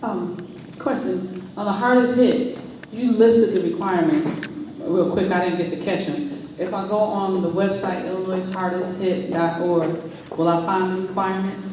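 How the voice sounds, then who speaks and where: High-voiced man asking quick questions. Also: fairly even intonation, a little mellow. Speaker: meeting participant asking questions. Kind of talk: questions at a public meeting. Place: village board meeting room. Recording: echoey noisy hall, picked up from far off.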